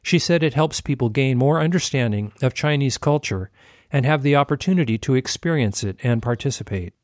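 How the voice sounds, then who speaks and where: A man delivers scripted news narration at an even, measured pace. His voice is mid-pitched and sits close and dry, with no room sound around it. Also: no echo.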